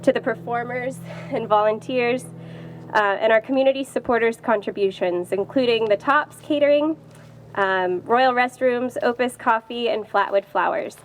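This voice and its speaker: Woman with a highish voice, welcoming folks at an outdoor gathering, kinda informal. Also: a little hiss or hum. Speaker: cemetery staff member giving welcome speech.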